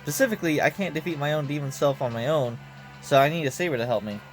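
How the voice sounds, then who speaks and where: A man's voice: on the high side, fast, natural voice. A man voicing a legendary female swordmaster in game dialogue, speaking in a frank, earnest, folksy way. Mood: earnest, encouraging. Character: legendary swordswoman, cheerful, frank, encouraging gunslinger. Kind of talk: game dialogue read aloud. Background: background music.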